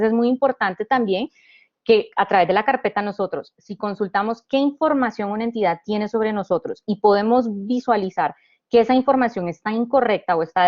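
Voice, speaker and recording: Woman from Honduras, panel presenter, mid pitch, explains step by step, restarts and "eh" fillers, slightly muffled audio. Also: a little mellow. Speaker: panelist presenting a government digital service.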